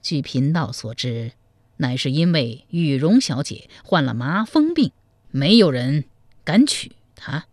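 A man storyteller voicing a sly, scheming male Buddhist monk who counsels in a slow, hushed manner. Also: on the high side, big pitch swings, dry recording, pitched below the performer's own voice. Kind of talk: storytelling, acted dialogue.

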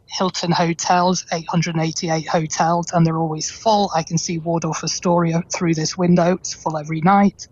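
Husky-voiced woman on a dull remote line. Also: on the low side, dry recording. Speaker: international business consultant, guest. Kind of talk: interview answer. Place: indoors, office with window.

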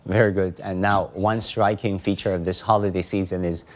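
A man hosting a news program who introduces guests briskly and puts questions to them with occasional fillers. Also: sits low, fairly even intonation. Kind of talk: live news interview hosting.

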